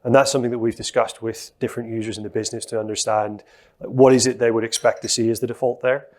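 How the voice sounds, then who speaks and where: A middle-aged man speaks into a lectern microphone at a conference, walking the audience through a live software demo. His voice sits at an ordinary mid pitch, and his pace is steady and explanatory, with frequent fillers. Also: fast. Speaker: presenter giving a software demo.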